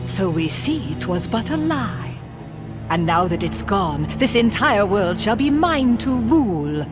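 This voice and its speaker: A woman's voice: medium pitch, sing-song, slow pace, over music. A woman voicing a haughty, imperious older evil sorceress in a video game, theatrical and grandly condescending, with archaic phrasing. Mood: menacing, triumphant. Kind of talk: video game cutscene voice acting.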